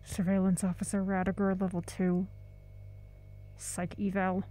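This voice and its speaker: A woman's voice: mid-range voice, monotone, measured pace, mellow, natural voice. A woman playing a withdrawn, exhausted surveillance officer in an audio drama, speaking in terse, reluctant phrases. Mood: sullen, guarded. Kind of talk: audio drama monologue, spoken log.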